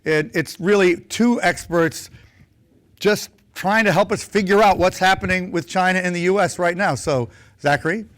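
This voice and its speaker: Man, fairly high voice, hesitant, host introducing panel. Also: normal speed, a bit bright.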